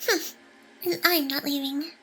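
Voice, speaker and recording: A woman playing a playful, possessive female AI hacker in love, in an intimate ASMR roleplay with breathy sighs and soft teasing. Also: high voice, fairly even intonation, unhurried, a little hiss or hum. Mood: lonely, intimate.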